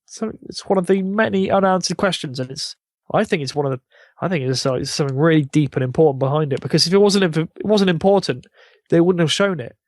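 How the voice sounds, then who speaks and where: A man's voice: fairly high pitch, quick pace, dry recording, studio quality. A man with a British accent, chatting casually as a co-host on a gaming podcast. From United Kingdom. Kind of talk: casual chat.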